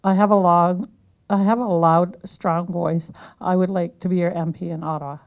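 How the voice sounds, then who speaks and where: A woman candidate giving a hesitant campaign speech, clearing her throat now and then. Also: on the low side, steady tone, normal speed, highs cut off. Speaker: political candidate. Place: community hall.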